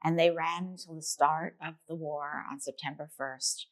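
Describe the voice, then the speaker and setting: A woman's voice: low-pitched, fairly even intonation, moderate pace. A woman from New York, a documentary filmmaker, giving earnest, reflective answers in an interview.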